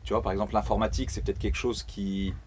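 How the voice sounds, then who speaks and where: A man's voice: fairly low pitch, little pitch movement, rapid-fire, a little mellow, a little hiss or hum. A man hosting an interview, speaking in a friendly, encouraging way with plenty of casual fillers. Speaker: host interviewer.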